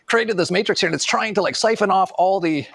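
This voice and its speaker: A man with a noticeably high voice talks in a loose, casual commentary style. His delivery is gravelly with vocal fry and rambles along sardonically. The sound is very dry. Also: fast talker, fairly bright tone, no echo. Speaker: online commentator.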